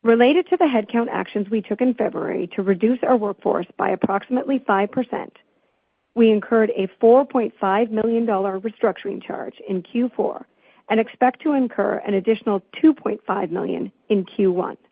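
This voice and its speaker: A woman company finance executive reading a scripted earnings call presentation in a formal, measured manner. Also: mid-range voice, creaky, slightly rough, no room sound. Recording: tinny phone-like conference call line.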